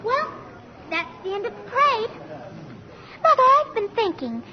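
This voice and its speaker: A woman's voice: very high-pitched, sing-song, normal speed, a loud crowd. An actress playing a precocious, skeptical little girl in a 1940s radio drama, with prim, blunt, grown-up phrasing. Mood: eager, polite. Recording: muffled old radio broadcast with music.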